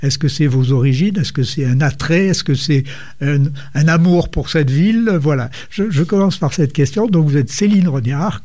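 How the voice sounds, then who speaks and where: A man's voice: a little high, mellow. A man hosting a radio interview show, giving long, winding introductions and murmuring assent while his guest talks.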